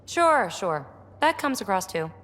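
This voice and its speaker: Lively, cheeky young explorer, echoing. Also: female voice, mid-range voice, unhurried. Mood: playful, curious. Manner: breezy, irreverent, animated. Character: lively, cheeky, curious young female alien researcher and rogue explorer. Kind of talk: video game dialogue.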